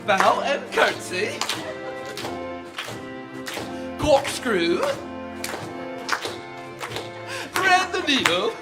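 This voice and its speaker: An actor playing a jolly old merchant, hearty and proclaiming, in a live radio play. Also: male voice, very high-pitched, huge pitch swings, takes it slowly, over loud music, full character voice. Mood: festive. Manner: hearty chuckling, proclaiming salesman patter.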